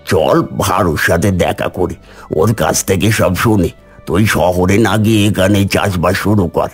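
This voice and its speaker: A man voicing an earnest, caring older village father who persuades gently in a cartoon story. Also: low voice, measured pace, slightly rough, faint music behind. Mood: earnest persuasion.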